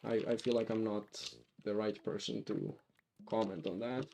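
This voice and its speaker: A man's voice: fairly low pitch, steady tone, normal speed, vocal fry, mellow, slight background noise. A man from Finland with a light Finnish accent, chatting casually while playing a game on a live stream, rambling with many restarts. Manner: rambling, lots of restarts and fillers.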